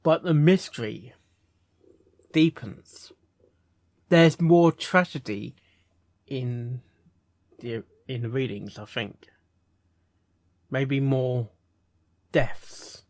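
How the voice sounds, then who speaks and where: A man giving casual, unscripted reaction commentary as a host, halting and rambling, often repeating words and phrases. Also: medium pitch, a little mellow, no echo, clean studio sound. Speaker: host reviewing fan fiction readings.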